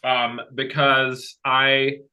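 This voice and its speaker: A man's voice: medium pitch, little pitch movement, extremely slow, sharp tone. A man from Sweden who sounds American, asking an eager, chatty question with stumbling restarts. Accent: American English. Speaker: audience member asking a question.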